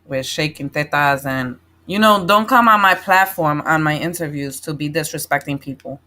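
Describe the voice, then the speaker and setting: Forceful, bright-voiced woman in her forties. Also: deep voice, normal speed. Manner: assertive, blunt. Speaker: interview show host. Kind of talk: casual interview chat.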